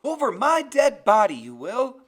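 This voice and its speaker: Man as a loud, distraught older woman. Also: a little high, sing-song, normal speed, gravelly. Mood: anguished fury. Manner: loud, emotional protesting. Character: distraught older woman, tearful, big-voiced, fiercely stubborn. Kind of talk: audiobook character voice.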